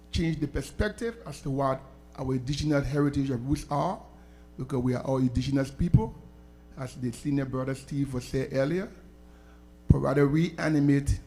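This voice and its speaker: A man's voice: medium pitch, mellow. A man from Liberia, introducing himself on a panel in a formal, courteous way with long listing sentences. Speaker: researcher on a panel.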